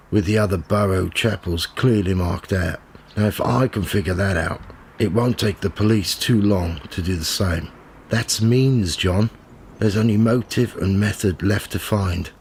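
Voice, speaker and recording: A man's voice: on the low side, moderate pace, darkish tone, slight background noise, lightly acted. A man acting a cynical, smug tabloid reporter in a radio play, with a sardonic, goading manner. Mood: coaxing, sly. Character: cynical, smug male tabloid reporter narrating his own investigation. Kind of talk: radio play acting with first-person narration.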